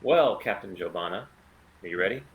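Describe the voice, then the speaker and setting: A man playing a calm, defiant rogue pilot with a plan in a sci-fi table read. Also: sits low, a little fast, narrow, tinny audio. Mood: dry, frank.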